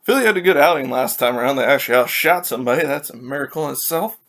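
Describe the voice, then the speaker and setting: A man from California hosting a casual solo hockey recap, rambling and correcting himself as he reads scores and stats, a little raspy from allergies. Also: medium pitch, expressive, racing, fairly bright tone.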